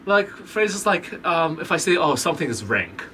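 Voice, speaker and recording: A man's voice: a little high, very animated, normal speed, sharp tone. A man from New Zealand with a New Zealand accent, a podcast guest in casual, rambling chat who laughs easily. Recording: distant mic, faint background noise.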